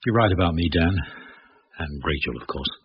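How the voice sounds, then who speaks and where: A man's voice: low voice, lively intonation, fairly quick, breathy edge, exaggerated voice. An actor playing an earnest, guilt-ridden British man in middle age, brooding and intense, in a radio drama. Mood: uneasy. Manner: brooding, intense, self-questioning. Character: earnest, guilt-ridden, successful working-class-born Englishman. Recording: muffled old radio recording, faint hiss.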